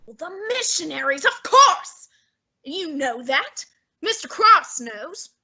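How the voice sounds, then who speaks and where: A woman playing a portly, imperious male detective genius in a student radio drama, measured and condescending. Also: extremely high pitch, sing-song, bright, forceful tone, exaggerated voice. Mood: commanding. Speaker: student actor.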